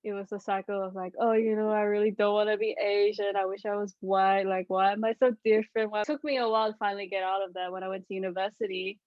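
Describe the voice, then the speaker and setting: A woman's voice: mid-range voice, little pitch movement, fast, hardly any pauses, no room sound. A Singapore-born woman, chatting casually as a podcast co-host. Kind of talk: casual chat. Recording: dull dry podcast audio.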